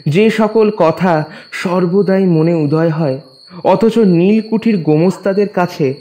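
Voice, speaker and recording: A man narrating a literary story as a scripted reading, in a formal, measured storytelling manner. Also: high voice, warm tone.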